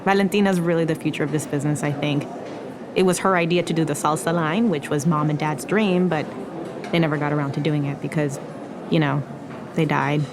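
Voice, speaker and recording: A woman's voice: sits low, steady tone, brisk, background noise. A woman playing a young Mexican-American restaurant worker, playful, self-effacing and smitten, in a romantic audio drama. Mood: earnest, modest.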